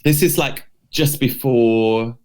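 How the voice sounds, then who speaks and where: A man's voice: medium pitch, takes it slowly, darkish tone. A man co-hosting a podcast, telling a history story casually and unscripted, in a hesitant, loosely phrased way with some hedging. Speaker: podcast co-host presenting a history feature.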